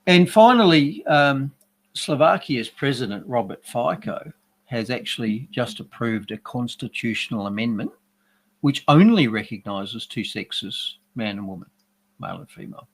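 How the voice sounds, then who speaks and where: A man giving opinionated news commentary in a dry, sardonic, measured way. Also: medium pitch, lively intonation. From Australia. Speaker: regular commentator.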